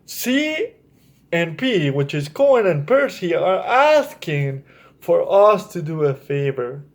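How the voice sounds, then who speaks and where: A man's voice: a little high, sing-song, takes it slowly. A man hosting a children's story time, reading aloud and explaining things to young listeners in an encouraging, direct way. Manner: encouraging, addresses listeners directly with check-in questions.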